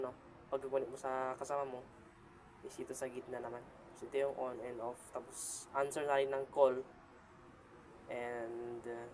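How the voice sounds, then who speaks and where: A Filipino man talks through a product in a casual unboxing style. His mid-pitched voice moves little in melody and comes haltingly, mixed with English phrases. The amateur recording carries clear background noise. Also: a little mellow. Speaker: vlogger and product reviewer.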